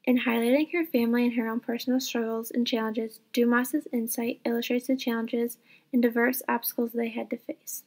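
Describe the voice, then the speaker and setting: A young woman reading a student book report aloud in a steady, careful way. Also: on the high side, brisk, poor audio. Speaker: student presenter.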